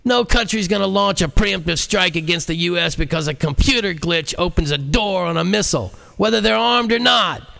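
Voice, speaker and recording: A man's voice: high-pitched, animated, moderate pace, gravelly, few pauses, pushed and bright, pitched above the performer's own voice, heavily acted. A man voicing an irate, blustering male president in an audiobook, indignant and emphatic. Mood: defiant. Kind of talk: audiobook character acting.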